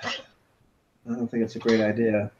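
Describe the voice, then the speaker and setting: A man playing a tabletop game, talking quickly and eagerly. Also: lowish voice, completely flat delivery, highs cut off, noisy background. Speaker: player. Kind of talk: casual tabletop play.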